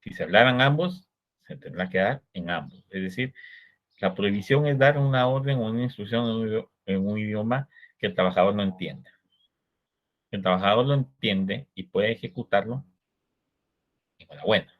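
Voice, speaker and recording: A Guatemalan man with a Guatemalan Spanish accent giving a recorded law lecture, explaining in a measured way and posing rhetorical questions to his students. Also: fairly low pitch, expressive. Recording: dull lo-fi recording.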